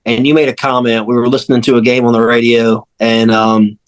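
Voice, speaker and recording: A man's voice: medium pitch, flat delivery, fairly quick, smooth, rough recording. A man chatting casually and unscripted as a panelist on a sports radio show.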